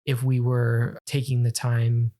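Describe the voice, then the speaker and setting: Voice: mid-range voice, completely flat delivery, takes it slowly, dark tone. A podcast guest speaking off the cuff, rambling and correcting himself mid-sentence. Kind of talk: casual chat.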